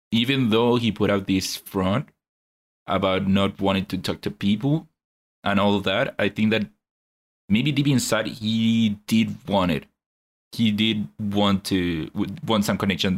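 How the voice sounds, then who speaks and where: A man co-hosting a film review podcast, giving his view slowly and thoughtfully over a call. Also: fairly low pitch, fairly even intonation. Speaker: podcast co-host. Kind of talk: casual film review chat.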